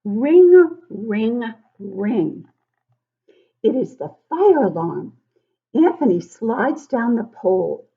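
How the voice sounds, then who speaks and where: A woman reading a storybook aloud to young children as a teacher, expressive, with encouraging conversational asides and questions to her listeners. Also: fairly high pitch, slow pace. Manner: expressive, emphatic when reading. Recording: dull, lo-fi sound.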